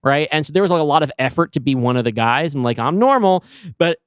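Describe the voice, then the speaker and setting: A man's voice: a little high, lively intonation, fast, clean tone, no room sound, studio quality. A man co-hosting a podcast, talking casually and conversationally. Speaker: podcast co-host.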